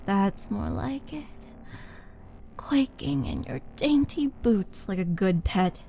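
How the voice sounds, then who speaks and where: A woman's voice: mid-range voice, big pitch swings, warm tone, a little hiss or hum, heavily acted. A woman voicing a towering, bloodthirsty half-orc murderess in an intimate audio roleplay, possessive, teasing and dramatic, sometimes dropping toward a whisper. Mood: menacing, imperious. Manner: slow, dramatic, menacing and taunting, breathy dips into whisper. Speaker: roleplay voice performer. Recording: background music under voice.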